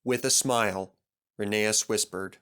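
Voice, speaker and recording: A man reading a children's story aloud as an expressive narrator. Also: fairly low pitch, measured pace, clean tone. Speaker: story reader.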